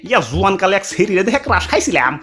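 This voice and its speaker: Man putting on a bumbling old grandpa, lower-toned. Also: very animated, racing, fairly bright tone, soft background music. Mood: flustered. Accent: Bangladeshi dialect. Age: older. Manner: peppers speech with a filler word, exclaiming. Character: old grandfather, bumbling, henpecked, easily startled. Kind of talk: comic cartoon dialogue.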